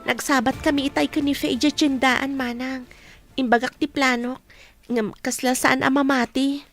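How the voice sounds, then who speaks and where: A woman's voice: high voice, level tone, normal speed, faint music behind. A woman playing a repentant young village woman eager to make peace, in a radio drama, with an Ilocano accent. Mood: hopeful, earnest.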